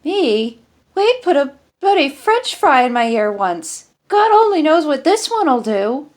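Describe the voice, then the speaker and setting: A woman's voice: high voice, very animated, unhurried, voice pushed up. A woman voicing cheeky, griping military squad members in an audiobook story, with a wisecracking manner. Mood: teasing, protesting.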